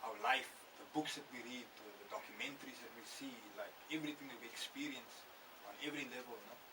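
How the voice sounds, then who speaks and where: A man's voice: mid-range voice, quick pace. A man with a Cape Town English accent, a rapper talking in a radio interview, laid-back, with lots of "you know" and "man". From South Africa. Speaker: rapper guest. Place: radio studio. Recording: distant mic with noisy background.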